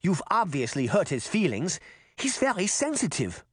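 A man's voice: high voice, huge pitch swings, normal speed, husky, no room sound, studio quality, voice pushed up, full character voice. A man voicing a firm, exasperated elderly grandmother in a children's fantasy audiobook, scolding and imploring. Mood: reproachful.

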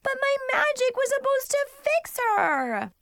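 High, theatrical bratty little girl. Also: sing-song, a little slow, a bit bright, no echo, heavily acted. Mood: whiny. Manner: showy announcing, whiny when thwarted.

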